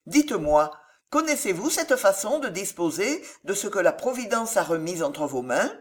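A woman's voice: mid-range voice, sing-song, moderate pace, lightly acted. A woman voicing a solemn, eccentric moralizing man in an audiobook, exhorting in declamatory phrases. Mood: solemn, questioning. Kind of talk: audiobook character voice.

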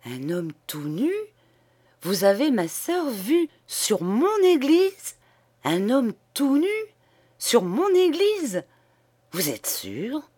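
A woman's voice: high-pitched, wildly swooping pitch, slow, warm tone, slightly rough, pitched above the performer's own voice, heavily acted. A woman voicing an agitated old male parish priest who preaches hellfire and sputters with outrage. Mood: outraged.

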